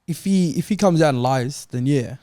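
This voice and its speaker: A man's voice: fairly high pitch, normal speed, dark tone, no room sound, clean studio sound. A man talking casually and argumentatively as a panelist on a football show. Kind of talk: casual debate.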